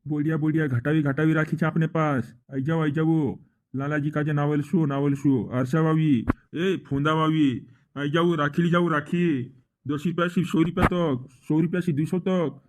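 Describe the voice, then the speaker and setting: A man's voice: fairly high pitch, little pitch movement, moderate pace, lightly acted. A man voicing a pushy, cheerful market stall seller in a comedy cartoon, chanting repeated sales calls with a rural western Madhya Pradesh accent. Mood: hawking, eager. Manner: repetitive hawking chant. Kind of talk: cartoon street-vendor calling.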